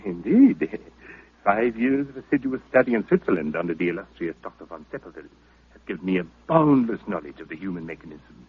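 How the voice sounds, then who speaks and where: Pompous health club proprietor: florid, husky, theatrically animated, on a very muffled vintage radio recording. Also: male voice, low voice, brisk, exaggerated voice. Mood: boastful. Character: pompous health club owner, self-styled doctor, grandly verbose. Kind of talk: 1940s-50s radio acting. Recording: old radio broadcast, very narrow muffled band.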